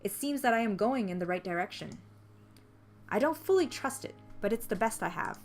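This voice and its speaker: Expressive woman narrator over music. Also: fairly high pitch, brisk. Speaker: visual novel player reading the text aloud. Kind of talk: expressive scripted story narration. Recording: music behind the voice.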